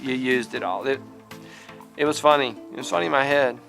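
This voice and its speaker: A middle-aged man, a teacher, talking in a casual vlog monologue, halting and repeating words as he goes, livelier when he tells a story. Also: mid-range voice, creaky, over music. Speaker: vlogger, teacher.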